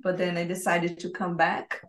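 A woman with a Brazilian Portuguese accent and a slightly low voice. She speaks as a podcast guest, telling her own story in a conversational way. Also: little pitch movement, moderate pace. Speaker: podcast guest, expat family coach. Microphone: remote call.

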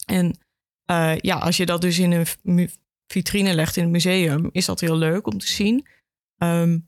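A woman with a Netherlands Dutch accent explains conversationally as a podcast guest, thoughtful and halting, voicing imagined reactions. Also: on the low side, dry recording, clean studio sound. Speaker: education officer at a regional archive, interview guest.

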